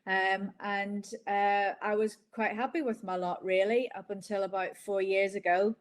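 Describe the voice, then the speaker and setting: A woman's voice: mid-range voice, fairly even intonation, a little slow. A woman in her forties with a Northern Irish accent, chatting reflectively as an interview guest about her own life. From Northern Ireland. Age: 40s. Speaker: interviewed guest, business founder. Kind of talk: conversational interview, personal storytelling. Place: online video call.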